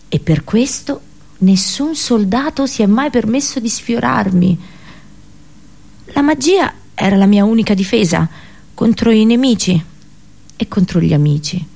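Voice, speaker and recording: A woman performing an intense theatrical radio monologue as an anguished, unheeded princess seer, in deliberate, broken phrases, in Italian radio drama style. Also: medium pitch, vocal fry, a little mellow, lightly acted. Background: music.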